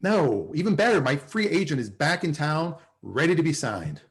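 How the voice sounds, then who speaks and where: Man playing a flustered, animated sitcom guy. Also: on the high side, normal speed. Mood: elated. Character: flustered, smitten male office internet salesman. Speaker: actor in a sitcom table read. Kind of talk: sitcom table read dialogue. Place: video call at a table read.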